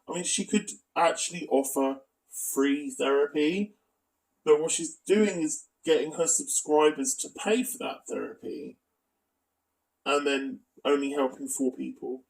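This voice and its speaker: A man's voice: a little high, distant mic, poor audio. A man narrating a scripted exposé as a video commentator, with asides and a critical, accusatory manner.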